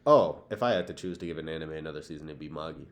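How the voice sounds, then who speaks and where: Very deep-voiced man chatting casually. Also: rapid-fire. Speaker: podcast co-host. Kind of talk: casual banter, would-you-rather questions.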